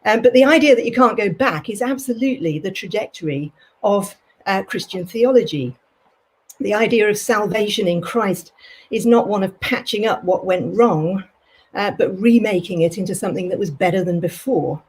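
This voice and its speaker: A woman's voice: mid-range voice, normal speed, darkish tone, slightly rough, poor audio. A woman academic talking conversationally as a panelist in an online discussion. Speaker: panelist, theology professor and college principal.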